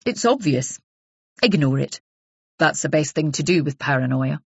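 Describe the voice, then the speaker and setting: A calm, tactful young man , as a woman narrator puts him on, low and even. His advice comes out steady and reassuring. The recording is dry and dull. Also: moderate pace, creaky, slightly rough, no echo, full character voice.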